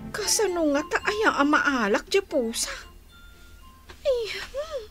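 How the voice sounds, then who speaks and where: A woman's voice: extremely high pitch, big pitch swings, takes it slowly, music in the background, full character voice. A woman acting a dutiful but exasperated young daughter, hesitant and exclamatory, with an Ilocano accent in a radio drama. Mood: resolute, worried.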